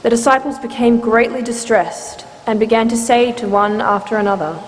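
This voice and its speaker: A woman's voice: on the high side, fairly even intonation, moderate pace. A woman giving a formal, scripted narration of a scripture story. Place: large church, live reading. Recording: reverberant church hall, faint noise.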